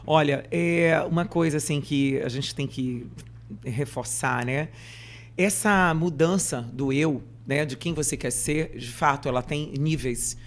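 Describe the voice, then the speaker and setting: A woman's voice: low voice, measured pace, slight background noise. Woman, Brazilian accent, podcast host, conversational, affirming, elaborates on points. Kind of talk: conversational interview.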